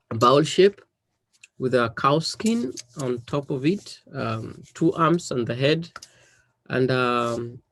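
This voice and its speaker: A man's voice: mid-range voice, dry recording. A man from western Kenya with a Kenyan accent, a native speaker of Dholuo, answering interview questions with frequent ums and repeated words. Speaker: musician interviewee.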